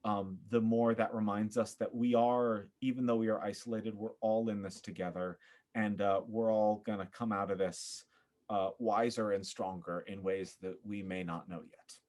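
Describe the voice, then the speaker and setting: A man speaking as a podcast guest in a casual interview, earnest and effusive, often pausing to think. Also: lowish voice, steady tone, darkish tone. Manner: earnest, effusive, halting with thoughtful pauses. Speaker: podcast guest, theater performer.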